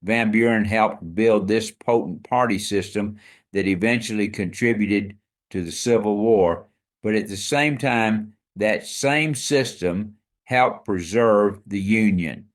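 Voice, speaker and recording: Low-voiced man explaining history. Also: slow. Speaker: co-host. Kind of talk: scripted history podcast chat.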